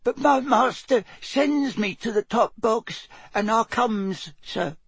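A man voicing a tiny, timid female house elf servant in an audiobook, squeaky and quivering, deferential and anxious, with broken grammar. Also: animated, breathy edge, no echo, heavily acted. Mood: firm, frightened. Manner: subservient, broken grammar, drawn-out phrasing.

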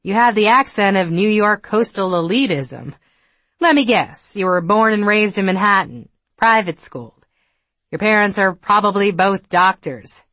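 A woman voicing a tipsy, chatty young Southern woman in an audiobook, gushing and teasing with an excitable manner. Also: medium pitch, unhurried, dry recording, pitched above the performer's own voice. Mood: teasing, challenging. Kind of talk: audiobook character dialogue.

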